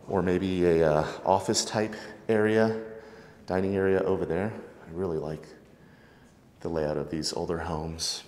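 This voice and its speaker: A man giving a relaxed, chatty walkthrough of a house for sale, moving between rooms and the backyard as he films. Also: low-pitched, a little hiss or hum. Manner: relaxed, chatty, lots of filler words. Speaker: real estate agent giving a home tour. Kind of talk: casual walkthrough narration. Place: house, indoors and outdoors.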